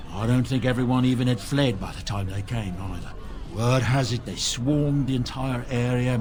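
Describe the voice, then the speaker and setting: A man's voice: mid-range voice, close to an everyday voice. A man playing a world-weary tavern barkeep in a fantasy video game, casual and matter-of-fact as he passes on news.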